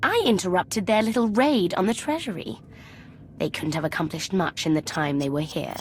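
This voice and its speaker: A woman's voice: on the low side, moderate pace, slight background noise, lightly acted. A woman voicing a proud, vengeful imperial villainess in a video game, imperious and indignant. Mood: defensive. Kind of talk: video game cutscene acting.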